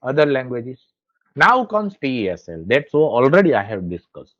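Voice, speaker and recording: A man's voice: medium pitch, sing-song, normal speed, no room sound. A man with an Indian Gujarati accent, giving a classroom lecture and often checking that the listeners follow. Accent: Indian English, Gujarati. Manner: checks understanding with repeated questions. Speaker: teacher educator. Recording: dull lo-fi, dry sound.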